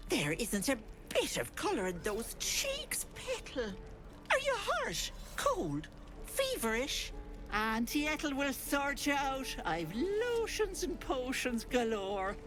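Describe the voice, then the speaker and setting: Swooping, sugary old auntie. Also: female voice, high-pitched, big pitch swings, slow pace, music in the background, heavily acted. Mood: fussing, sweet. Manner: doting, folksy, singsong pet names. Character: cooing, fussing old auntie potion seller, sugary sweet. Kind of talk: video game character acting.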